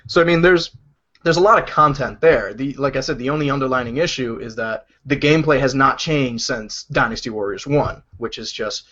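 A man talks very fast on a podcast, rambling through his views with many "likes" and restarts. His voice sits at a middling pitch. The audio is dull and lo-fi. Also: bright, forceful tone. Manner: rambling, lots of fillers and restarts, laughs easily. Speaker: podcast co-host, game reviewer. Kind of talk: casual chat.

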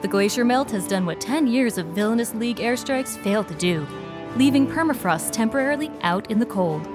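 Actress as composed news lady, slightly high voice, music under it, scripted report. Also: lively intonation, brisk, natural voice. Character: composed female radio news correspondent.

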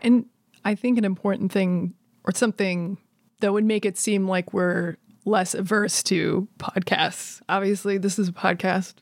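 A woman's voice: mid-range voice, steady tone, unhurried, no room sound, clean studio sound. A woman hosting a conversational interview, putting questions and thoughts to her guest. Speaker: podcast host, interviewer.

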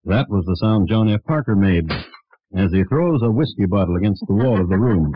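An actor playing a solemn crime connoisseur, narrating as radio host with measured, dramatic phrasing in a vintage style. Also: male voice, on the low side, expressive, no echo. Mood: grave, storytelling. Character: wry, solemn male crime connoisseur hosting true murder tales. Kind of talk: 1950s radio scripted narration. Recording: muffled vintage radio recording.

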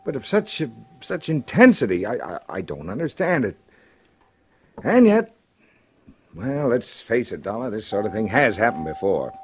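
Earnest doctor, dramatically swooping voice. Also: male voice, fairly low pitch, big pitch swings, normal speed, a little mellow, breathy edge. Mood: troubled, defensive. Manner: emphatic, faltering with dismay. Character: earnest, troubled male doctor, defensive and insistent. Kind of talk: 1950s radio drama acting.

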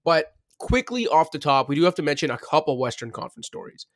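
A man hosting a sports podcast, talking quickly and casually, thinking aloud with plenty of fillers. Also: a little high, big pitch swings, no echo. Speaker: podcast host.

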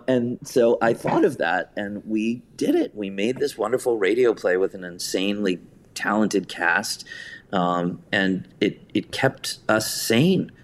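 A man speaking casually as an interview guest, telling anecdotes and acting out bits of remembered conversation. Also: lowish voice. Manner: anecdotal, quoting conversations in his own voice. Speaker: guest, theatre director and writer.

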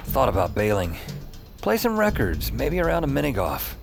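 A man's voice: medium pitch, huge pitch swings, normal speed, vocal fry. An actor playing a cocky, battered fantasy hero who narrates his own exploits in casual, sarcastic asides for an audio drama. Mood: wry, cocky. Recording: loud music and effects behind.

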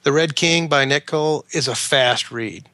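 A man's voice: a little high, moderate pace, pushed and bright, dry recording. A male author guest talking casually about his writing, earnest and prone to stammered restarts. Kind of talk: casual interview chat.

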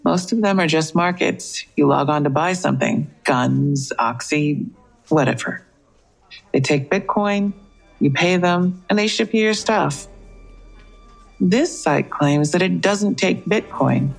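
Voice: medium pitch, measured pace, creaky, soft background music. An actor playing a matter-of-fact, knowing police investigator in an audio crime drama.

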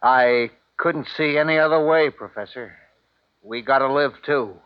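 A man's voice: medium pitch, a little slow, clear, resonant tone, dry recording, natural voice. A man playing a reasonable, plain-spoken army sergeant in a 1950s radio drama. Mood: sober. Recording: dull old radio recording.

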